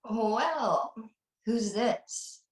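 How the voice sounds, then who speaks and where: Woman acting a teasing, guarded housewife in slasher drama, really slow delivery, echoey call audio. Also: fairly high pitch, expressive. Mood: flirtatious, teasing. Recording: roomy, echoey video call.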